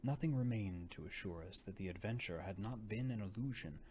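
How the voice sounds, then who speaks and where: A man reading a story aloud in a theatrical narration style, sometimes dropping to a hush. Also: on the low side, a little fast. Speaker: audiobook reader.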